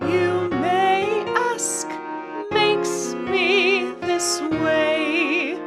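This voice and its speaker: A very high, theatrical wicked diva, savouring each word slowly in sung, jazzy menace. She is vain and murderous, performing over loud music in an audio musical. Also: steady tone, full character voice. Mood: gleefully menacing. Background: jazz music.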